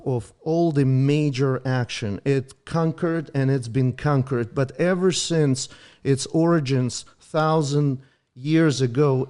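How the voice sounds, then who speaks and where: Guest, fifties, from Ukraine, first language Russian, emphatic, explanatory. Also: male voice, mid-range voice, dark tone, smooth. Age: 50s. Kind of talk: podcast interview.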